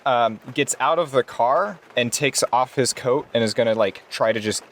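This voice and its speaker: A man's voice: mid-range voice, normal speed, a little hiss or hum. A man chatting informally and jokingly as a player in a tabletop roleplaying game. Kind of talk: casual chat at a roleplaying game.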